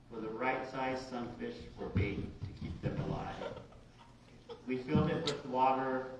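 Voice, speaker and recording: A male writer reads his story aloud in a halting, informal way, with a mid-pitched voice. The room is heavily reverberant and he sounds set back from the microphone, a little muffled. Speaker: writer reading his work. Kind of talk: reading an autobiographical short story aloud. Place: large echoey indoor room at a live reading. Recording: very echoey room, distant dull mic, hiss.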